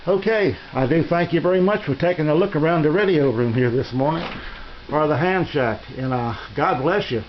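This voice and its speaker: An American man giving a casual, unscripted tour of his radio room in a halting, rambling, folksy and polite way. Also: on the high side. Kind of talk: casual unscripted show-and-tell narration. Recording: dull lo-fi home video, faint noise.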